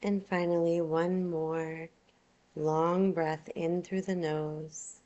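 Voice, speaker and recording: A woman teaching yoga nidra, guiding a relaxation meditation in a soothing, unhurried, gentle way. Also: on the low side, flat delivery, highs cut off.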